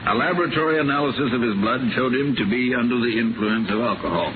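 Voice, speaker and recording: An actor plays a formal, matter-of-fact doctor in a vintage radio drama. His mid-pitched voice is measured and terse. The recording is thin, with heavy hiss. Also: natural voice. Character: matter-of-fact male physician testifying. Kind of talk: 1950s radio drama acting. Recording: noisy, narrow-band 1950 radio recording.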